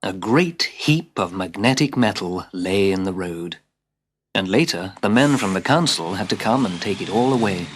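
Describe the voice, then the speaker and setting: A man narrating a scripted children's story as a dramatic, expressive storyteller who drops into hushed asides. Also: low-pitched, moderate pace. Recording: hissy old cassette tape.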